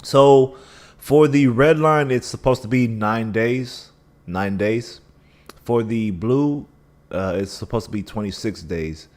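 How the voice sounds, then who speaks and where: A man's voice: mid-range voice, slow. A man hosting a casual live stream, talking through chart analysis with a scratchy voice and a dry mouth, thinking aloud with many restarts. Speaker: livestream trading host.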